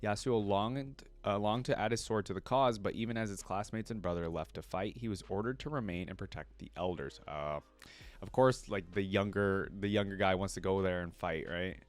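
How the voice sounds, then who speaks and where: A man with a fairly low voice hosts a reaction video. He moves between reading text aloud and casual commentary, dropping in informal asides and checking in with his viewers. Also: normal speed.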